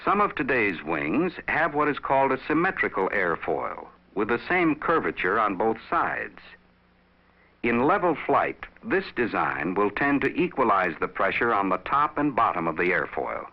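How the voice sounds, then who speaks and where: The voice is a low-pitched man, narrating an instructional film. He explains in a measured, didactic way, with plenty of rise and fall in his intonation. The sound is dull and lo-fi, like a vintage training film soundtrack. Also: big pitch swings.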